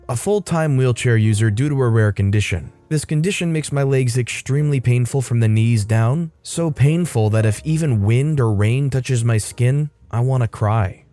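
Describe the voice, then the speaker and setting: A man narrating a Reddit story in a steady, scripted reading voice. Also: medium pitch. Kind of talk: reading a Reddit post aloud.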